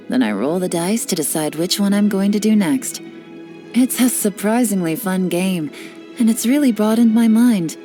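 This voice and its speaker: An actress playing a cool, cunning female spy and information broker, speaking with confident, sly, measured delivery in game voice lines. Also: medium pitch, warm tone, natural voice. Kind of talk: scripted character voice lines.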